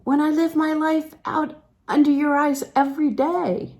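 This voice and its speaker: A woman's voice: sits high, level tone, unhurried, darkish tone, pitched above the performer's own voice. A woman acting an earnest, devoted young woman from a novel, sincere and easily flustered. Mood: flustered protest.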